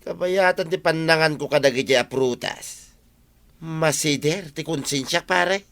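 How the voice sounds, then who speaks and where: A man's voice: a little high, measured pace, vocal fry, fairly bright tone. A man with an Ilocano accent playing a folksy, chatty village husband in a radio comedy drama. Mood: confiding.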